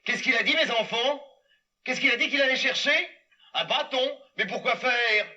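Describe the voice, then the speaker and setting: A cheeky, cheerful puppet hero, voiced by a man who chats with the children in the audience. His voice is high and bright with big lively swings in pitch. It is recorded from far off and sounds dull. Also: moderate pace, full character voice. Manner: chatty, confides in the children.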